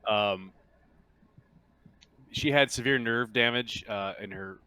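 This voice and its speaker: A man explaining tabletop game rules casually, in a halting manner. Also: on the low side, sharp tone, smooth.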